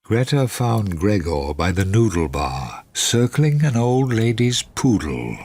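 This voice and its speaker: A man narrating a scripted radio drama in a grave, deliberate manner. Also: fairly low pitch, big pitch swings, a little mellow, breathy edge, full character voice.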